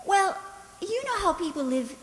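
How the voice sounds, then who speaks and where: A woman playing a frightened, naive young maid in a radio drama, speaking gently and hesitantly. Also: extremely high pitch, sing-song, moderate pace, long reverb tail. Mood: fearful. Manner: hesitant, timid, repeats words.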